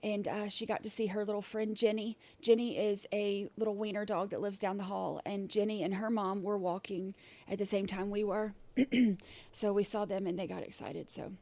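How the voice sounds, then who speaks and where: A woman hosting a casual livestream, her throat scratchy and irritated, clearing it often and rambling with many fillers and restarts. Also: mid-range voice, little pitch movement, moderate pace, a little mellow. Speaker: livestream host.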